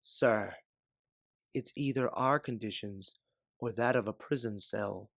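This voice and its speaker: A man's voice: fairly low pitch, takes it slowly, gravelly, no echo, studio quality, exaggerated voice. A man voicing a pragmatic woman resistance fighter who reasons firmly. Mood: firm urging. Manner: firm, reasoning.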